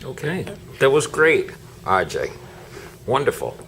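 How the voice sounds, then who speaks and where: A man's voice: mid-range voice, sing-song, measured pace, fairly bright tone. A man working as a reading tutor, casually asking a pupil questions in an encouraging way. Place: small meeting room, indoors. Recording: faint background noise, room ambience.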